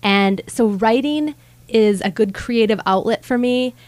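A woman's voice: fairly high pitch, unhurried, darkish tone, no room sound. A woman in her thirties from Michigan's Upper Peninsula, a guest author speaking earnestly with light humor on a studio microphone. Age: 30s. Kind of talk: radio interview. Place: radio studio.

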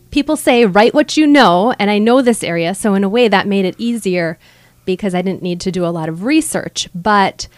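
A woman's voice: mid-range voice, dry recording. A woman in her thirties from Michigan's Upper Peninsula, a guest author speaking earnestly with light humor on a studio microphone. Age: 30s. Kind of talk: radio interview. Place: radio studio.

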